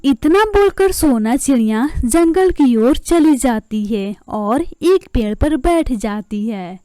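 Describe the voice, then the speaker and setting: A woman's voice: sits high, expressive, takes it slowly, warm tone, no room sound. A woman narrating a scripted story as a storyteller.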